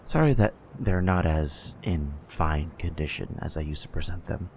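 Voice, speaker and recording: A man playing a deferential, businesslike trader in human blood donors in an ASMR roleplay, gravelly, with a folksy salesman's patter. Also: very deep voice, moderate pace, darkish tone, a little hiss or hum. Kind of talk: ASMR audio roleplay acting.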